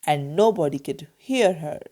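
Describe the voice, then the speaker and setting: A woman storyteller reading a children's book aloud with expressive narration. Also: low-pitched, normal speed.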